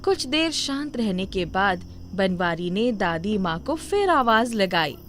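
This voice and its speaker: A woman's voice: medium pitch, big pitch swings, a little slow, fairly bright tone, slight background noise. A woman reading scripted narration for a moral story as its storyteller.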